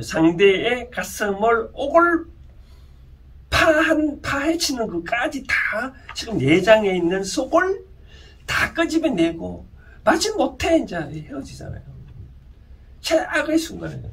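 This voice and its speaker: A man with a Gyeongsang Korean accent giving an informal explanatory lecture, speaking haltingly and often putting rhetorical questions to his listeners. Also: very high voice, sing-song, a bit bright. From Gyeongsang region, South Korea. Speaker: lecturer and counsellor. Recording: distant mic with faint background noise.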